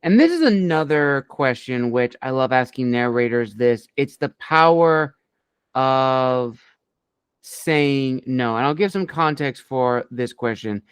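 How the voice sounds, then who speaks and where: Smooth-voiced man hosting interview. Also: mid-range voice, animated, slow, dry recording. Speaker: podcast host.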